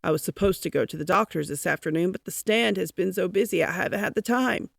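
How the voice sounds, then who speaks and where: Woman plays an ailing storybook newsstand man: plain-spoken, put-upon, lowish creaky voice. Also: fast talker, dry recording, studio quality, full character voice. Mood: weary. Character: busy, unwell male newsstand seller. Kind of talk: storybook character reading.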